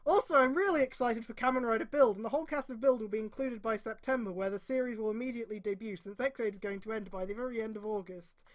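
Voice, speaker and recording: A woman's voice: a little high, quick pace, hardly any pauses. A woman streamer reading fan fiction aloud in a mocking, theatrical way, with exasperated, sweary asides. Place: indoors on a live stream.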